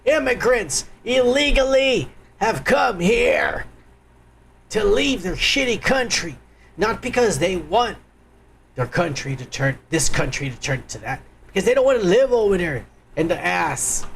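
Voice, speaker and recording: A man's voice: sits high, very animated, unhurried, gravelly, a bit bright, a little hiss or hum. A man hosting a live stream, ranting crudely and angrily in profane political commentary.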